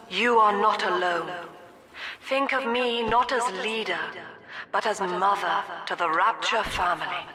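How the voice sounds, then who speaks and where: An actress voicing a calm, cold middle-aged woman psychiatrist who leads a cult in a video game, speaking in a measured, soft, controlled way. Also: mid-range voice, lightly acted. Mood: serene broadcast. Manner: measured, soft-spoken, controlled and coldly gentle. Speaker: game character. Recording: reverberant with music behind.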